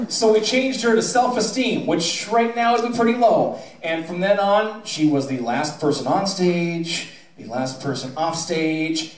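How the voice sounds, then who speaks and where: A middle-aged American man giving an informal award speech to an audience, folksy and wry, in the manner of a school theatre director. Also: on the high side. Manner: folksy, wry, teasing delivery. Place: school theatre stage, indoors. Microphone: handheld mic on PA, captured by a distant camera. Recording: echoey auditorium recorded from far off, audience noise. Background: audience murmur and laughter.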